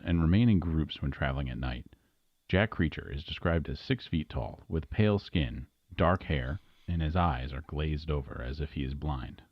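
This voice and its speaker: A man as a very deep-voiced news anchor. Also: no echo, highs cut off. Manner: formal, measured delivery. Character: grave male local news anchor. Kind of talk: scripted TV news bulletin.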